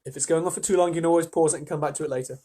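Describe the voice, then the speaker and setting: A man reading a children's story aloud as an expressive storyteller, with casual asides to listeners. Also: on the high side, very fast, a little hiss or hum.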